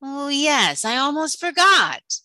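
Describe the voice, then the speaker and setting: A devious storybook sea witch, played by a woman, bargains with teasing menace. She speaks slowly and deliberately in a bright, dry voice. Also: high-pitched, very animated, no room sound, pitched above the performer's own voice, full character voice. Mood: sly, gloating.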